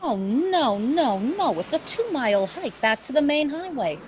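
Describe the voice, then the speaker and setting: Lady acting as folksy no-nonsense woman in a radio drama, high pitched and lively, noisy audio. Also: measured pace. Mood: casual.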